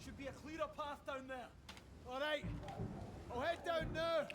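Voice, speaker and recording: Woman acting high-voiced game explorer, buried in noise. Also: steady tone, normal speed, close to an everyday voice. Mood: focused. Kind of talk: video game dialogue. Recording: distant, very noisy game audio.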